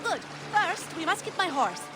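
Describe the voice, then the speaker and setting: A woman's voice: very high voice, big pitch swings, moderate pace. A woman voicing a spoiled, playful young noblewoman of ancient Egypt in a game cutscene, with an airy, haughty, teasing manner. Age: young adult. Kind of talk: dramatic game cutscene acting. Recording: music behind the voice.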